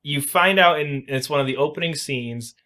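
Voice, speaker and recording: A man's voice: on the high side, normal speed, bright, forceful tone. A man hosting a casual podcast, explaining and reviewing video games in an informal, emphatic way. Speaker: podcast host.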